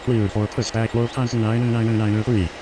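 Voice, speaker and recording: A man's voice: lowish voice, flat delivery, fairly quick. A man speaking formulaic air traffic radio calls as a pilot, in a procedural manner.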